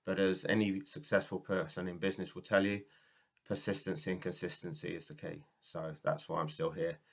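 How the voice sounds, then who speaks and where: A man's voice: sits low, flat delivery, fairly quick, gravelly. A man from the UK with a cold and a sore throat, giving a casual, rambling talk to camera as a business coach. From United Kingdom. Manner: rambling, many ums and restarts. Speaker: network marketing business coach.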